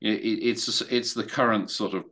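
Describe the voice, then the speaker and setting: Man on a video call, lowish voice, stammering restarts, firm opinions. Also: steady tone, normal speed, pushed and bright. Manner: hesitant, many restarts, opinionated. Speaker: meetup participant. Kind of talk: casual video call discussion.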